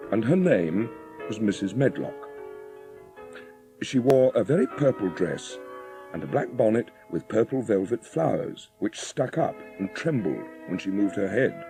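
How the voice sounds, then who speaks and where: Low, creaky, mellow male storyteller. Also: very animated, normal speed. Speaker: audiobook narrator. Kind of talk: scripted dramatic storytelling narration. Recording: music behind the narration.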